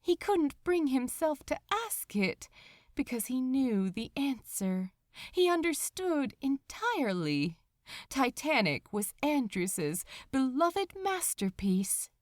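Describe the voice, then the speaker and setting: A woman narrates fiction with a slow, deliberate delivery. Her pitch moves widely for dramatic expression, and the recording is dry and close. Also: dry recording. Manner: expressive, measured.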